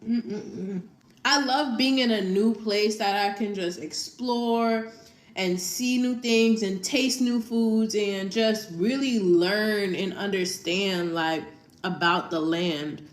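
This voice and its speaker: A woman chatting casually as a vlogger, animated and full of "like". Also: medium pitch, slow. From United States. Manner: animated, heavy use of "like". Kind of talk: casual chat.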